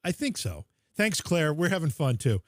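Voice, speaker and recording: A man's voice: a little high, big pitch swings, normal speed, no room sound. Chatty man co-hosting a podcast, close and dry. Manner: chatty, teasing, reads out supporter thank-yous. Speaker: podcast co-host. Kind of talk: casual livestream chat.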